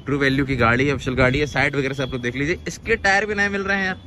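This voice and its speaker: A man's voice: mid-range voice, very fast, sharp tone, a little hiss or hum. A young man hosting a casual vlog, walking a used car lot outdoors and chatting with questions and repeated "okay, okay". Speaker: car vlogger host.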